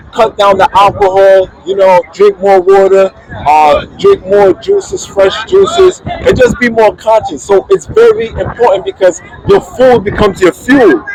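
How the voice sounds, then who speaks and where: A man's voice: high-pitched, gravelly, darkish tone, low-quality recording. A man in his thirties, a calisthenics athlete, giving preachy, lesson-like advice in a casual street interview. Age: 30s. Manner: preachy, advisory, talks in lessons. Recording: outdoor crowd chatter.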